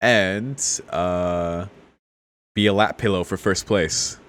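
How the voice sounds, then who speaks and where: Low-voiced man doing casual let's play commentary. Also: slow pace, fairly bright tone. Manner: amused, informal, reacting with short exclamations. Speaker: streamer playing and reading a visual novel.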